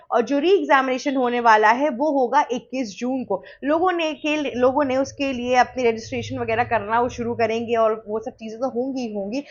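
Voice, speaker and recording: Indian lady doing news commentary, high voice, emphatic n chatty, bit of muffled lo-fi sound. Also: a bit bright. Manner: emphatic, Hindi mixed with English words. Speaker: news presenter. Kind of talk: explanatory news commentary, conversational.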